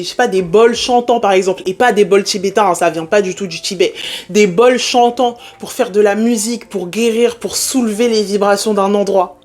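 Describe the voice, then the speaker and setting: A young woman from France talking casually to camera in a lively, animated way, with English words mixed in. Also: a little high. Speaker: spiritual content creator.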